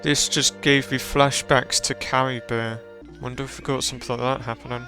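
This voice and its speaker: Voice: mid-range voice, level tone, moderate pace, sharp tone. A game streamer giving casual, offhand reaction commentary during gameplay. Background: game music.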